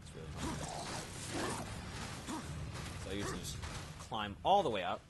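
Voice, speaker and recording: Male gamer, lowish voice, casual rambling let's play commentary, unsure and self-correcting, very noisy home recording. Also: sing-song, moderate pace. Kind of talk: casual off-the-cuff game commentary.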